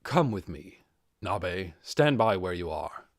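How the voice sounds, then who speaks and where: Man doing a low, reserved armored adventurer. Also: big pitch swings, takes it slowly, dry recording, clean studio sound, heavily acted. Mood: commanding. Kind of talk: audiobook character voice.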